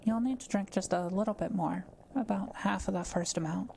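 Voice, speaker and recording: A woman playing a kind, gentle witch in an intimate roleplay audio drama, speaking softly and carefully to the listener. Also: medium pitch, fairly quick, creaky, a little hiss or hum, lightly acted. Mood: gentle, reassuring. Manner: soft-spoken, reassuring, careful explaining.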